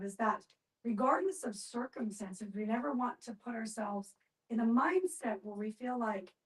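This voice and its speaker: High-voiced woman, slightly off mic. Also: distant mic, poor audio. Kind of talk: group discussion, earnest explaining.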